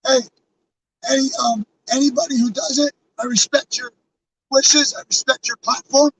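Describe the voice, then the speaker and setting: A hoarse man losing his voice, talking casually and earnestly to his live-stream chat, rambling with fillers and restarts. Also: very high-pitched, fairly even intonation, normal speed, a bit bright, recorded from a distance, rough recording. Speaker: live streamer with a truck convoy. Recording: off-mic with faint background noise.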